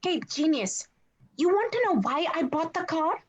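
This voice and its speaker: A woman playing an excitable, vain, wisecracking male monster sidekick with a whiny, boastful delivery in a scripted read. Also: very high-pitched, normal speed, lo-fi sound. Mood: exasperated. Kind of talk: podcast script table read.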